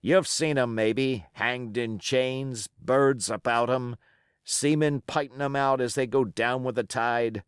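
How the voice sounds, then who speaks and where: A man's voice: mid-range voice, steady tone, slow pace, clean tone, no echo, studio quality, close to an everyday voice. A man voicing a cunning, scornful pirate ringleader in an audiobook, taunting and emphatic with rhetorical questions. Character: Long John Silver, scornful cunning male pirate ringleader.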